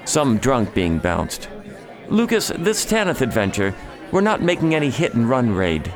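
A man's voice: mid-range voice, very animated, measured pace. A man playing a sociable, enthusiastic noble cousin in a radio drama, breezy and persuasive. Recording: faint crowd chatter behind.

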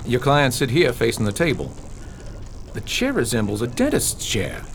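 A man's voice: medium pitch, wildly swooping pitch, moderate pace, slight background noise. A man playing a courteous, observant private investigator in a radio drama, polite and inquisitive. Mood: impressed, probing.

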